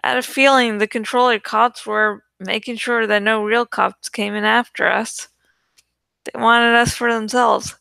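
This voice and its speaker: A woman narrates adventure fiction with expressive suspense. Her voice is bright and smooth, a little gravelly at the edges. She takes her time with each line. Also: on the high side, a little slow, dry recording. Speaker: audiobook reader. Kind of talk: dramatic scripted narration of a young adult novel.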